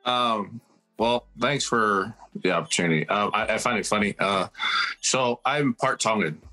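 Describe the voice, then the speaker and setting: Man, 30s, very low voice, reflective panel guest, video call. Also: unhurried, pushed and bright, faint music behind, low-quality recording. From Samoa. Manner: reflective, frequent restarts. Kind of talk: casual panel discussion.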